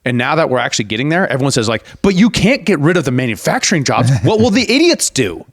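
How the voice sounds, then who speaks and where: A man's voice: on the high side, sing-song, quick pace, no echo, clean studio sound. A man co-hosting a casual political podcast, explaining points at length and mocking opposing views with a raised voice. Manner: explanatory, argues in long runs, mocks opponents in raised voice. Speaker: podcast co-host.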